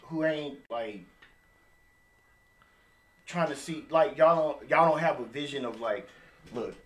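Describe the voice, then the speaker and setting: A man's voice: medium pitch, slow, fairly bright tone, a little hiss or hum. A man in a casual podcast discussion, speaking earnestly and emphatically and circling back over his points. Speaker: podcast panelist.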